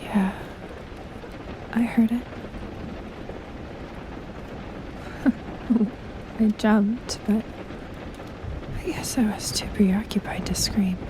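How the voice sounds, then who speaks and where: Woman acting a flustered, slow-talking young woman in love. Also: mid-range voice, steady tone, close to an everyday voice. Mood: shy, tender. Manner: playful, flustered, hesitant, breathy half-whispers. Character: shy, teasing young woman secretly in love with her best friend. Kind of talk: intimate audio roleplay addressed to the listener. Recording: rain and thunder sound effects behind. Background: rain and thunder.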